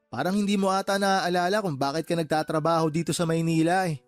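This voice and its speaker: An actor playing a firm, fair-minded uncle and shop boss who reasons and admonishes, in a Tagalog radio drama. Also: male voice, a little high, fairly even intonation, fast, no room sound, faint music behind, close to an everyday voice. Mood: admonishing.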